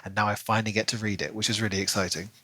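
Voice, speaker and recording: A man's voice: fairly low pitch, flat delivery, rapid-fire, sharp tone, slightly rough, no echo. A man, co-hosting a podcast and chatting casually about books. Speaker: podcast co-host. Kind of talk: casual book review chat.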